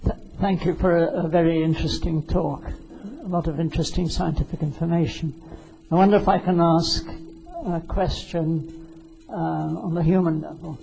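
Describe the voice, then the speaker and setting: A man from the audience asks a long, wandering question in a high, gravelly, husky voice. He speaks slowly and hesitantly, and a long hall reverb trails his words. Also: fairly even intonation, warm tone, faint music behind. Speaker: audience member asking a question. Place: large hall.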